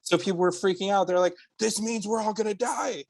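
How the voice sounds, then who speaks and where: Dry-humored young man, slightly high voice. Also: steady tone, fairly quick, vocal fry, a little mellow, no echo. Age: 20s. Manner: dry, self-mocking humor. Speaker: podcast guest. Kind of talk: casual chat.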